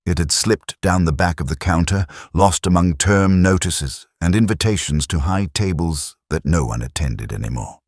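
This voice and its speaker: Very deep-voiced man narrating a detective story. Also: animated, no echo, clean studio sound. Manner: measured, literary, reflective. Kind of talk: scripted first-person detective story narration.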